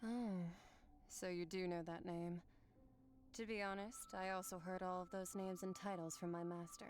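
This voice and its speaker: Game role, female actor: aloof warrior woman, lowish register, formal, blunt delivery, music underneath. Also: level tone, moderate pace, lightly acted. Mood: matter-of-fact. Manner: cool, formal, matter-of-fact. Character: aloof, haughty otherworldly swordswoman, blunt and unimpressed. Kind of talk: video game character acting. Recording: game audio with background music.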